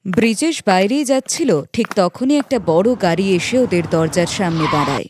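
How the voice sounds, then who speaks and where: A woman's voice: medium pitch, animated, normal speed, noisy background. A woman reading a scripted children's moral story as its narrator.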